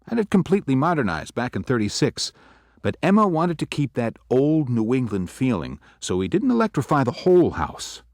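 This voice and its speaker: A man's voice: medium pitch, very animated, moderate pace, a little mellow, no echo, clean studio sound. A man playing a fatherly older estate owner. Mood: fretful. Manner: rambling, second-guessing himself. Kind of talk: old-time radio drama acting.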